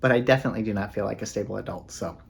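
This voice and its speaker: Low-voiced man in a rambling, self-deprecating vlog. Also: rapid-fire, vocal fry, husky. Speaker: book vlogger. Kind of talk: casual chatty vlog.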